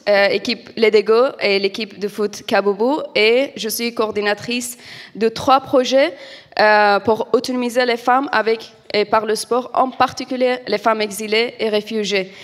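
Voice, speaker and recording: Young woman from Afghanistan, halting speech. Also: on the high side, steady tone. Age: young adult. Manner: careful, halting, many fillers. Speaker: award winner, football coach. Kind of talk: acceptance speech. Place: event studio stage. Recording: reverberant hall.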